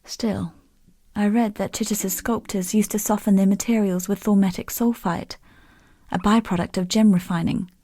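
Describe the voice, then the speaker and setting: An actress playing a bitter, proud, quietly grieving woman exile in a fantasy video game, speaking in a measured, wry storytelling way. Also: medium pitch, fairly even intonation, no room sound, clean studio sound, close to an everyday voice. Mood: reflective. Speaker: non-player character in a fantasy action game. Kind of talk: scripted dramatic game dialogue.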